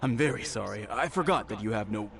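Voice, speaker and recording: An actor playing a rich, courteous middle-aged innkeeper who chuckles politely. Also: male voice, on the low side, expressive, quick pace, creaky, a bit bright. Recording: game music in background.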